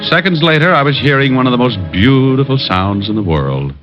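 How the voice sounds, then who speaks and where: A wisecracking private eye, played by a man, narrates and trades dry jokes in a mid-pitched voice. The vintage radio recording sounds muffled, and music plays clearly behind him. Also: lively intonation, a little fast, lightly acted. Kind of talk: 1940s radio acting.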